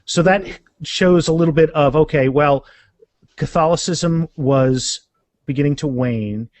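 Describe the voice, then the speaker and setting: A middle-aged man speaking casually as an author guest in an interview, animated and often repeating phrases as he goes. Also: a little high, a little slow, no room sound.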